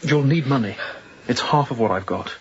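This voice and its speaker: An actor playing a resolute young sea captain in a radio drama, giving terse, authoritative orders. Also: male voice, mid-range voice, expressive, moderate pace, natural voice. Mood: urgent, hushed. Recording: dull lo-fi radio drama, faint noise.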